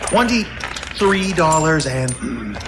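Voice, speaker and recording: A fast-talking man plays a pushy reporter in an old-time radio drama. His pitch swings wildly as he wheedles and protests. Music sits clearly behind him. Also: sing-song. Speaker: reporter character in a radio play.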